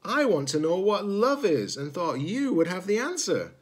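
Storybook young robot, played by a man: raised pitch, eager, earnest, sincere quester. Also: sing-song, normal speed. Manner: earnest, exclaiming.

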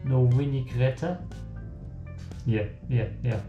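A man's voice: medium pitch, slow pace, lo-fi sound. A young man with a German accent, joking to himself as he cooks in a small home kitchen for his vlog. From German-speaking country. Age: young adult. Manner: joking, self-talk while working. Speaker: cooking vlogger. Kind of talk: casual cooking vlog. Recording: echoey kitchen, faint music.

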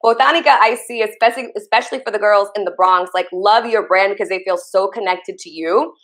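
A woman in her thirties from Trinidad, hosting an interview over a video call from a home office with lively, chatty enthusiasm. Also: mid-range voice, fairly quick. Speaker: interview host.

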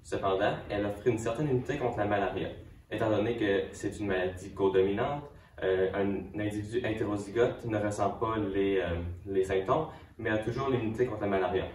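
A man's voice: on the low side, fairly quick, darkish tone, a little hiss or hum. A young man student giving a science fair presentation, hesitant, with fillers and restarts. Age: young adult. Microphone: far camera. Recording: echoey room from far off.

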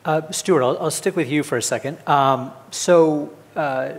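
A man's voice: on the high side, a little slow. A man moderating a conference panel, putting questions to the panelists on stage. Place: on stage in a large conference hall. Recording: reverberant hall with background noise.

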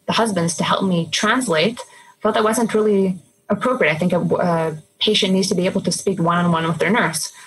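A woman's voice: fairly low pitch, low-quality recording. A woman in her thirties, an emergency nurse, talking casually. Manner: chatty, quick asides with fillers like "like". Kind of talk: casual interview chat.

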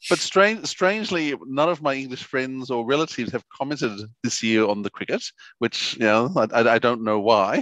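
A man's voice: medium pitch, moderate pace, hardly any pauses, fairly bright tone. A middle-aged man, interviewing casually as a podcast host on a headset mic. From Australia. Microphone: headset mic on video call. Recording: dull, lo-fi headset call audio.

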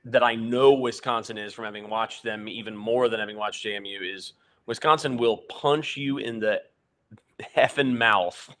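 A man's voice: mid-range voice, a bit bright. Chatty young man breaking down basketball. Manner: rambling, analytical, frequent fillers and restarts, laughs often. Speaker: podcast co-host. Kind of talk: casual postgame sports chat.

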